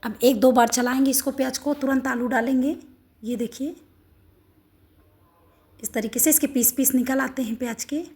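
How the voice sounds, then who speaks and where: A middle-aged woman with a hoarse, sore throat, walking through a home recipe step by step in a homely, instructive way. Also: high-pitched, fairly even intonation, normal speed, long pauses, darkish tone. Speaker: cooking channel host. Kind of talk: home cooking demonstration.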